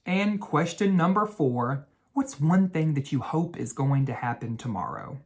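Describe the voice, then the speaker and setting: A man speaking as an educator in a bedtime read-aloud for children, with a gentle, instructive way of talking and drawn-out key words. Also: fairly high pitch, lively intonation.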